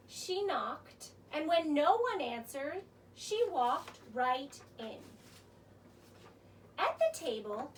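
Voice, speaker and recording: Slow, expressive woman storyteller, high voice. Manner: expressive, theatrical. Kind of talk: children's story narration. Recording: distant mic with faint noise.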